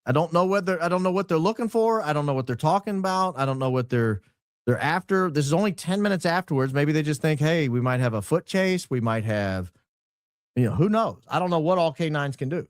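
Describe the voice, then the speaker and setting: Male commentator, higher-pitched and very lively, questioning, repetitive, casual, dry close sound. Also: brisk, dry recording. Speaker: host. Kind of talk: casual commentary on audio clips.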